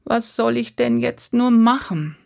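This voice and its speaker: Lady doing a young prince, worried and pleading, higher voice, storybook. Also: measured pace, vocal fry, darkish tone. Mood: earnest. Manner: raised, pleading and helpless. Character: young male prince, earnest and anxious.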